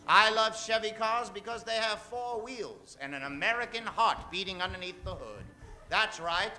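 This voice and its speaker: A man's voice: high voice, pushed and bright. A man hosting and narrating in old-time radio style, a hammy, tongue-in-cheek showman. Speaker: radio show host and narrator. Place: stage before a studio audience.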